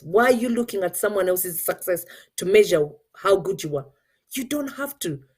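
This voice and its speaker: Middle-aged Ugandan-accented woman host, mellow dark tone, earnest and coaching. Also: mid-range voice, husky. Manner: earnest, mixes English with Luganda. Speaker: show host. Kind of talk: motivational talk.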